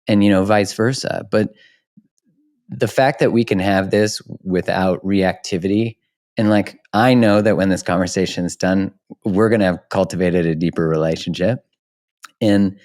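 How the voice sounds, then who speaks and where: A man's voice: on the low side, darkish tone. A man hosting a podcast interview, speaking in an earnest, reflective way and searching for words. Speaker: podcast host. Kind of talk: interview conversation.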